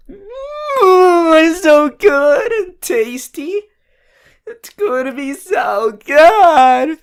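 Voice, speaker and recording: A woman voicing an obsessive, hungry and playful yandere vampire in an ASMR roleplay, drawn out, theatrical and breathy. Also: heavily acted. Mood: ecstatic. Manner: theatrical, drawn-out, swinging between breathy whispers and high squeals.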